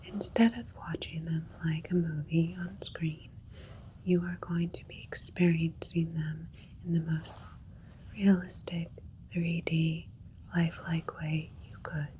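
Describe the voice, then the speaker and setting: A calm alien doctor, played by a woman whispers slowly and gently in a low register. She is clinical and reassuring, like an ASMR spaceship procedure, with faint ambient noise behind her. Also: poor audio. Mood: calm, explanatory. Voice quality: breathy whisper. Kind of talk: ASMR sci-fi roleplay. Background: soft alien spaceship ambience.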